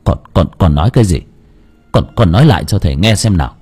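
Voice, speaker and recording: A man voicing a hot-tempered village father who shouts fast and angrily. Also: deep voice, lively intonation, slightly rough, voice pushed down, exaggerated voice. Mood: stammering.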